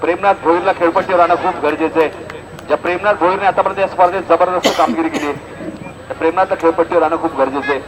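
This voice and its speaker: Maharashtrian guy calling a local cricket match, highish voice, chatty, repeats himself, lots of outdoor noise. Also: fairly even intonation, racing, a crowd in the background. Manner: repeats score lines, chatty asides to listeners. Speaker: cricket commentator.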